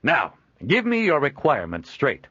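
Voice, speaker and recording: A booming, jolly middle-aged merchant, as a man puts him on, pitched up and loud with wide, bouncing swings in his voice. His talk is dry and dull in tone, close and unroomy. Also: wildly swooping pitch, normal speed, husky, dry recording, heavily acted. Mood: jovial. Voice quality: hearty, booming, laughs often. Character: jovial middle-aged male traveling trader.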